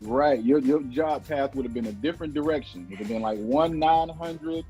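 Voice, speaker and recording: A man's voice: medium pitch, normal speed, warm tone, soft background music, poor audio. A man co-hosting a radio interview, chatting casually and rambling his way into questions. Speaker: radio show co-host and interviewer.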